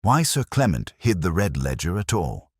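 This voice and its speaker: Man playing composed Victorian detective, storybook reading, very low husky voice, precise, emphatic. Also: very animated, normal speed, no echo, clean studio sound, full character voice. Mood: probing, deliberate. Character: analytical, composed Victorian male detective, coolly reasoning.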